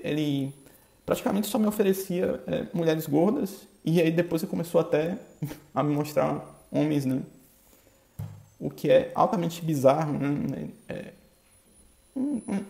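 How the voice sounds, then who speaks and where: A man from Bahia with a Bahian accent, hosting a podcast in a halting, casual monologue full of filler tags. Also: on the high side, gravelly, mellow. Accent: Bahian Brazilian Portuguese. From Bahia, Brazil. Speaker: podcast host. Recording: echoey room.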